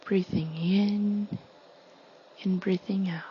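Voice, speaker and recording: Very slow, hushed woman guiding meditation. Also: mid-range voice, steady tone, lo-fi sound, a little hiss or hum. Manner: soothing, hushed, breathy delivery with long pauses. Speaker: meditation guide. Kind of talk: guided meditation narration.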